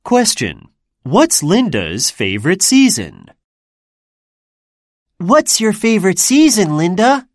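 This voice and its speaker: A woman reading a scripted textbook listening drill, saying words slowly and deliberately for learners to repeat. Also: mid-range voice, very animated, dry recording, clean studio sound. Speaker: lesson narrator.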